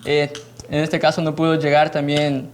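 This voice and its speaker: A man hosting a podcast interview, his voice sitting a little high for a man. He greets his listeners cheerfully and asks his guests questions in a friendly way, laughing easily. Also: completely flat delivery, moderate pace, a little hiss or hum. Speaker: podcast host.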